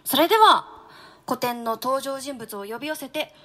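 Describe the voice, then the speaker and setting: Female presenter with a high voice, chatting as co-host of a radio show. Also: lively intonation, moderate pace, a bit bright.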